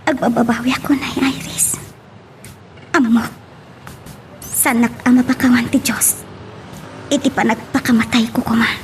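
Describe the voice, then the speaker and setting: Woman as high-voiced, emotional young daughter. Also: fairly even intonation, normal speed, long pauses, music in the background, close to an everyday voice. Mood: remorseful. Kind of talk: Ilocano radio drama acting.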